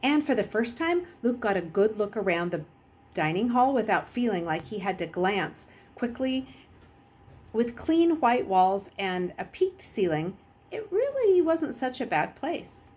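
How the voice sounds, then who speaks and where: Animated woman reading a story aloud. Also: a little high, measured pace, husky. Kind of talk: expressive audiobook-style narration.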